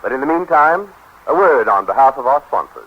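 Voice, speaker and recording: Male announcer, vintage radio: mid pitch, formal but melodic, muffled and faintly noisy. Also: sing-song, brisk. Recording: dull, faintly noisy 1930s radio broadcast.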